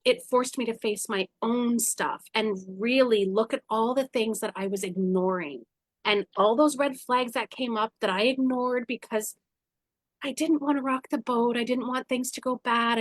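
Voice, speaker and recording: The animated guest on a spiritual podcast, a woman talking bluntly about her own life in a dry, close-sounding studio. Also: on the high side, moderate pace, no room sound. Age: 40s. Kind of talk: candid personal storytelling in conversation.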